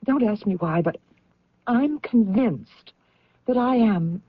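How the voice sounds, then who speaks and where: An actress playing an anxious career woman convinced of a plot against her, halting and agitated, in radio drama style. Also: mid-range voice, lively intonation, no room sound. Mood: distraught, halting. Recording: dull, band-limited old radio recording.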